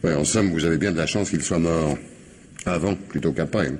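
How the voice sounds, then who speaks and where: A man playing a deep-voiced, probing police commissioner. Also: slight background noise, close to an everyday voice. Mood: insistent, sly. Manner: hesitant, repeats words, deliberate questioning. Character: probing male police commissioner, polite but insistent. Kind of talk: French radio mystery drama acting.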